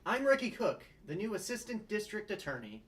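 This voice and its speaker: A man's voice: high voice, very animated, fairly quick, fairly bright tone. A man at a comedy table read playing an earnest, idealistic young lawyer who speaks hesitantly.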